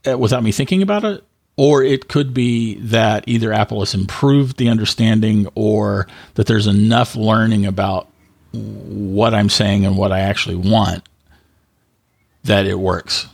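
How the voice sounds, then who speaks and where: Gravelly, husky man chatting casually. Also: fairly low pitch, no echo, studio quality. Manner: rambling, halting, self-correcting. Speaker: tech podcast co-host. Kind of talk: casual tech podcast chat.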